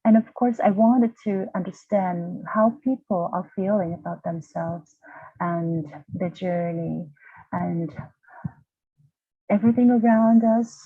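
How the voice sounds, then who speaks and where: A woman's voice: on the low side, slow pace, slightly rough, dry recording. A woman, telling her personal story as a guest, hesitant and restarting often as she searches for words. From Vietnam. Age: 40s. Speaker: guest, university lecturer. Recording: tinny, dry video-call audio.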